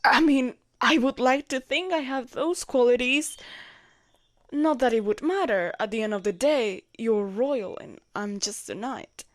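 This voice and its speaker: A woman's voice: a little high, unhurried, no room sound, studio quality, natural voice. A woman playing a devoted, lovestruck knight, shy and conflicted, in an intimate romantic audio roleplay, speaking hesitantly and tenderly. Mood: flustered, hesitant. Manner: hesitant, tender, breathy whispers at times.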